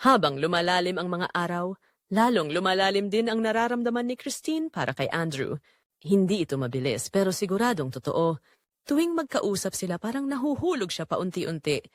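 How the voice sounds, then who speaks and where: A woman with a Filipino accent narrating a scripted romance story in an expressive, measured way with dramatic pauses. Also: on the low side, dry recording, studio quality.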